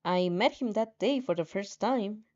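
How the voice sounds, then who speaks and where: A woman's voice: mid-range voice, unhurried, darkish tone, lightly acted. A woman voicing a frustrated teenage girl heroine, earnest, polite but defiant, in a story reading with lo-fi sound. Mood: defensive. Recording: dull lo-fi sound.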